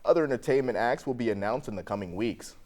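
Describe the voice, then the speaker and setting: A man's voice: mid-range voice, lively intonation, fast, a little mellow. An American man reading scripted local news as an anchor.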